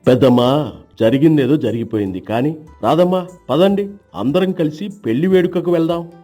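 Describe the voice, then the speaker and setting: A man playing an emphatic, admonishing village husband in an acted moral story, with a husky voice. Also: fairly high pitch, normal speed, dark tone, soft background music, natural voice. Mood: amused, explaining. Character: indignant village husband, teasing, morally lecturing.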